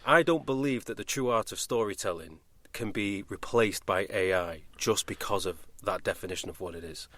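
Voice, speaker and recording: A man's voice: lowish voice, moderate pace, hardly any pauses, fairly bright tone, no room sound. A man hosting a casual podcast, talking in a discursive way and often interrupting himself. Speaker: podcast host, voice actor.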